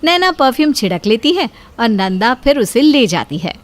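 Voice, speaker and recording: A woman's voice: fairly high pitch, big pitch swings, moderate pace. A woman narrating a scripted story.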